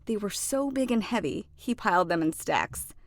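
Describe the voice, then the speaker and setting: A woman narrating a children's storybook expressively, with deliberate phrasing and dramatic emphasis. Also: medium pitch, lively intonation, dry recording, studio quality. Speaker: children's book read-aloud narrator.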